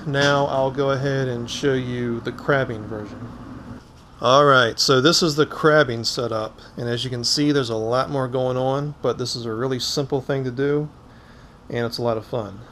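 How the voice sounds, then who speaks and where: A man's voice: mid-range voice, fairly even intonation, moderate pace, a bit bright. A man casually walking through his gear item by item, as the host of a hands-on fishing vlog. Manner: informal, explaining item by item. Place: indoors in a cluttered garage. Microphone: head-mounted action camera. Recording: clicky, noisy action-camera audio.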